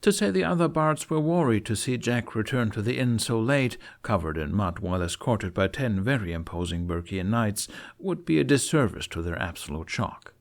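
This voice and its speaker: A man narrates a fantasy tale in a low voice. He reads scripted prose as an audiobook-style storyteller. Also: animated, normal speed, few pauses. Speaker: narrator reading fan fiction aloud.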